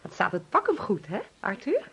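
Doting, fussing mother, husky and lively. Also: mid-range voice, moderate pace, exaggerated voice. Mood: fussing, eager. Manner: coaxing, brightly fussing. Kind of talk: Dutch radio play acting.

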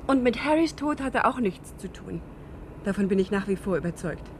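Soft-spoken, wistful young woman played by an actress. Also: medium pitch, animated, fairly quick, a little hiss or hum, lightly acted. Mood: defensive. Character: grieving young woman, polite, wistful, loyal. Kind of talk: 1970s German radio crime drama acting.

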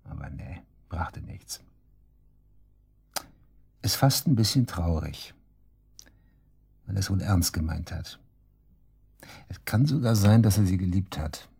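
Casting: cocky shady suspect, dark low husky voice, taunting and coarse, radio play. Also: male voice, expressive, takes it slowly, pauses often, heavily acted. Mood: cynical, musing. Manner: taunting, cynical, coarse colloquial talk. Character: cocky, sneering male murder suspect, shady club worker. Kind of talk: German radio drama acting, interrogation dialogue.

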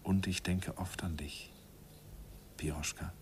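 Low slow wistful narrator, whispery and soft, old radio hiss. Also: male voice, steady tone. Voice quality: hushed and reflective. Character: earnest young German man recalling first love. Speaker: narrator and young German student Andi. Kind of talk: 1950s German radio drama narration. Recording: faint hiss of an old 1951 radio recording.

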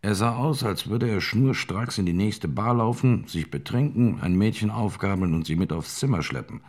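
Low-voiced, plain-spoken hotel owner. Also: male voice, lively intonation, moderate pace. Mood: matter-of-fact. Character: male hotel owner, plain-spoken, strict about his guests. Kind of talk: acted witness statement in a radio documentary drama.

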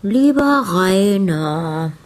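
An actress playing a playful, provocative, coquettish society wife in a radio drama, with a theatrical, teasing drawl. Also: female voice, medium pitch, animated, drawn-out words. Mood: teasing, languid.

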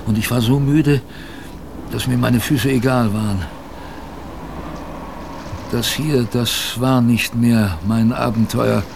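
A man's voice: mid-range voice, steady tone, takes it slowly, creaky, long pauses, background noise. An actor playing a weary polar expedition sailor who narrates his ordeal dramatically in a radio drama. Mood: weary, grim.

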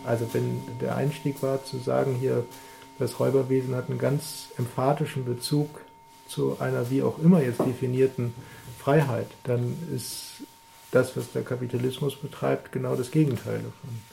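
A man's voice: mid-range voice, level tone, moderate pace, vocal fry, dark tone, soft background music, low-quality recording. A man in an analytical discussion, thinking aloud in an abstract, reflective way.

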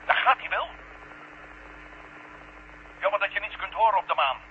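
Determined lone moon explorer, wry, high voice, clipped running commentary, muffled vintage radio-play sound. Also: male voice, lively intonation, normal speed. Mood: tense, focused. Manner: narrates his own actions in short bursts. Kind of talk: 1970 science fiction radio drama acting. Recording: muffled, very noisy old radio recording.

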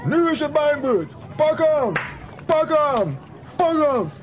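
A man's voice: very high-pitched, sing-song, slow, lo-fi sound, a faint crowd, a little hiss or hum, full character voice. A man playing a blustering, hot-headed comic character in a children's radio play, exclamatory and indignant.

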